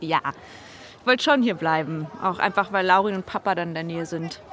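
A woman's voice: on the low side, fairly quick, fairly bright tone, a little hiss or hum, close to an everyday voice. An actress playing a friendly but worried seventeen-year-old girl who talks casually and a little hesitantly in a naturalistic radio drama. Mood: wistful, casual. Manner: hesitant, casual youth slang.

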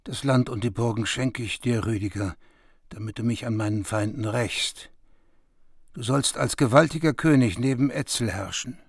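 A man's voice: medium pitch, normal speed, creaky, breathy edge, no room sound, studio quality. An actor playing a desperate king in a radio play who pleads with a vassal. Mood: pleading.

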